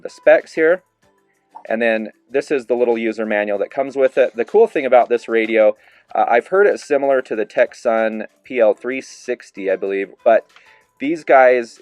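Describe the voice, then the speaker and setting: A man reviewing a handheld radio in a relaxed, easygoing way, demonstrating its features as he talks. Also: on the low side, smooth, dry recording. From Idaho. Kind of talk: casual product review.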